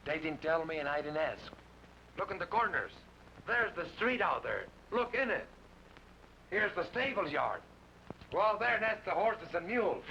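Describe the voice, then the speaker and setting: A defiant blacksmith. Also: male voice, high voice, big pitch swings, a little slow, pushed and bright, full character voice. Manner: defiant and bitter. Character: grieving Mexican village blacksmith, a proud father. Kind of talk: 1940s radio acting. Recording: muffled old radio broadcast with hiss.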